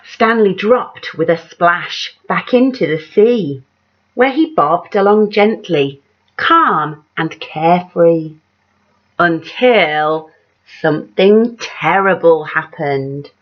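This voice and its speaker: A woman reads a picture book to young children with unhurried, dramatic delivery. Her voice sits a little low, and she pauses to put questions to her listeners. The sound is slightly dull and lo-fi. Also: expressive. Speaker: teacher reading a picture book aloud. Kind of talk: expressive children's story reading with asides to young listeners.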